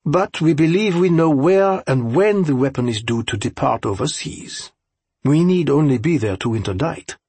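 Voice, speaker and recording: A man's voice: fairly high pitch, animated, a little mellow, breathy edge, no room sound, voice pushed up. Man voicing an earnest, formal young aide. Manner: polite, formal, measured.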